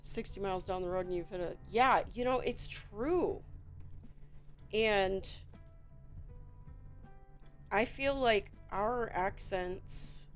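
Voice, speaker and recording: A Midwestern woman with a Chicago accent, chatting in a rambling, relaxed way while she builds a toy set on stream. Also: medium pitch, soft background music. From Midwestern United States. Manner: chatty, rambling, slow and drawn out, with frequent fillers.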